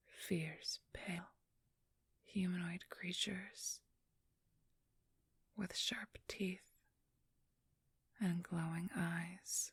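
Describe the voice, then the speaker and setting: A woman acts out a cunning supernatural female thief in an ASMR roleplay. Her voice is a very breathy, hushed whisper, unhurried and kept very level. She chooses her words with formal, condescending precision. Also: on the low side, no room sound, full character voice.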